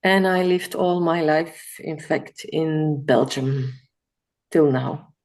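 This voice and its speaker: Woman from Italy, participant, slow and hesitant, mid pitch. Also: a little mellow. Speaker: circle participant. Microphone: video call.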